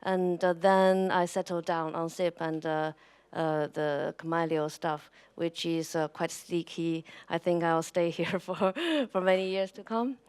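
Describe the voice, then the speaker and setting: A woman's voice: on the low side, level tone, measured pace, darkish tone, smooth. A woman, introducing herself hesitantly with many fillers. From China. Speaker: panelist, engineer.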